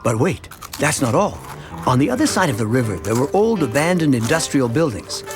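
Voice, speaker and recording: A man playing a chatty, earnest middle-aged suburban dad who narrates an animated short in a conversational, expressive storytelling way over music. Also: mid-range voice, brisk, lightly acted. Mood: upbeat, proud. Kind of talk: scripted first-person narration for an animated educational short.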